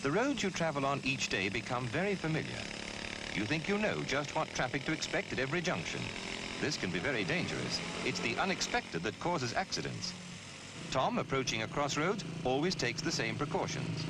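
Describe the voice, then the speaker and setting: A man reading scripted public information film narration in a measured, instructive, emphatic way, on an old film soundtrack. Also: medium pitch, very animated, heavy background noise. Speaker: narrator of a road safety film.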